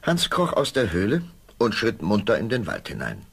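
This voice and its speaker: A man narrating a scripted fairy tale for an audio play in a gravelly voice. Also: lowish voice, huge pitch swings, moderate pace.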